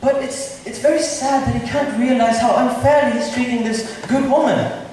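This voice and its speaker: A man's voice: very high voice, normal speed, darkish tone. A man in an amateur school play, playing a nobleman slyly plotting a matchmaking trick. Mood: mock sympathy. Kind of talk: amateur school stage acting. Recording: distant mic in echoey hall, noisy.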